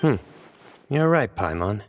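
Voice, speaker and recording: Deep, composed male investigator. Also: sing-song, long reverb tail. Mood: assured, commanding. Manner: measured, deliberate, matter-of-fact. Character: stern, composed young male investigator in authority. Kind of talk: video game voice acting. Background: game music.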